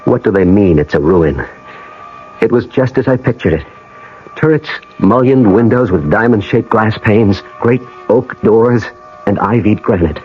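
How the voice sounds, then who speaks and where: A man acting in a 1980 radio drama as a brooding man on a pilgrimage, telling it in a measured, atmospheric first person. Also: fairly low pitch, expressive. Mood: awed, intent. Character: brooding American man on an ancestral pilgrimage, narrating. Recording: dull old radio broadcast with music.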